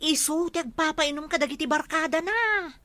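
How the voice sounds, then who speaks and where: High, animated, gossipy Ilocano village woman, acted. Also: normal speed, no room sound. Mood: prying. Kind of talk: Ilocano comedy drama acting.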